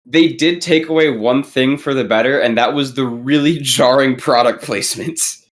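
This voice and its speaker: Casual young man on a podcast, halting and sweary. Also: medium pitch. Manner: hesitant, repetitive phrasing, sweary. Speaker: podcast panelist. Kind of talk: casual chat about movies.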